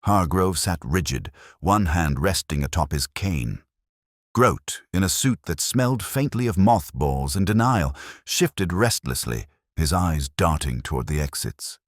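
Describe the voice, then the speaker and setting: A very low-pitched man narrates a scripted story. His delivery moves up and down in pitch, and the recording is dry and close. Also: expressive, a little slow, no echo. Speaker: audiobook narrator.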